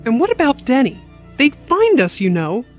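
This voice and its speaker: A woman's voice: high-pitched, wildly swooping pitch, moderate pace, faint music behind. An actress playing a stern, coldly resolute wife and mother in an audio drama, firm and commanding.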